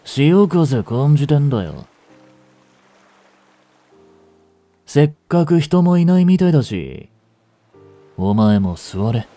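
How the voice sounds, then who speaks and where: A man playing a young delinquent in a voice drama, listless and blunt, with rough slangy talk and a caring streak. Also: mid-range voice, dark tone. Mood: languid, casual. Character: gruff, languid young male delinquent, secretly caring. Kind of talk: Japanese voice drama for female listeners.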